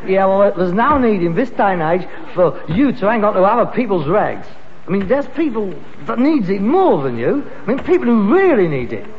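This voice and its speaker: An exasperated London son in a sitcom, scolding and sarcastic. His voice sits high. The old recording is dull, with long reverb and faint noise. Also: very animated, normal speed. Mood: disgusted, dismissive. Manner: scolding, sarcastic, working-class grammar. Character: exasperated, nagging grown son with frustrated ambitions. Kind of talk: British sitcom acting before an audience.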